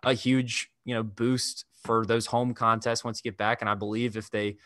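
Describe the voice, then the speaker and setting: A young man hosting a sports podcast over a video call, talking in a measured, analytical way at a desk microphone. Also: on the low side, little pitch movement, fairly bright tone, no echo, studio quality. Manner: measured, analytical, restarts phrases. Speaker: podcast host. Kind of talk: casual sports talk. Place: indoor room.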